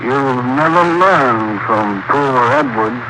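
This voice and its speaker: An actor playing a stern, unsentimental man attending the condemned, measured and grave, in 1940s radio style. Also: mid-range voice, close to an everyday voice. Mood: grave, unyielding. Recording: noisy old radio drama recording.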